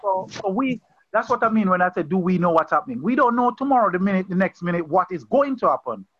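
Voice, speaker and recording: Earnest lay preacher, man, high pitch, conversational and repetitive, muffled call audio. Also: animated, quick pace, a bit bright, slight background noise. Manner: earnest, conversational, repeats phrases and restarts. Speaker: pastor leading an online Bible study. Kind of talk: informal sermon on a video call. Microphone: video call.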